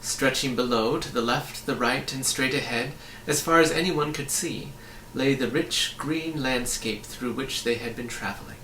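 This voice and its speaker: A man reading a children's story aloud in an expressive narrating style. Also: medium pitch, normal speed. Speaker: audiobook reader. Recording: roomy, slightly distant, faint hiss.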